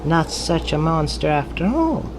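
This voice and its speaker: A woman's voice: low voice, sing-song, measured pace, noisy background. A woman playing a gloating renegade Time Lord villain in an audio drama, taunting and mock-polite. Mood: smug taunting.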